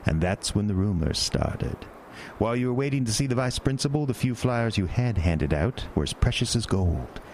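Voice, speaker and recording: A sinister carnival showman, deep and coaxing. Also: animated, normal speed, darkish tone, slight background noise. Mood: sly. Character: sinister smooth-talking male carnival showman. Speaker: carnival owner. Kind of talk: horror audio drama.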